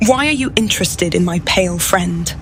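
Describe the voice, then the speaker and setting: A woman's voice: fairly low pitch, animated, normal speed, close to an everyday voice. A woman playing a firm, protective adventurer in a video game, speaking in a direct, wary way. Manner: direct, guarded. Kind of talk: video game character acting. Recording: music behind the voice.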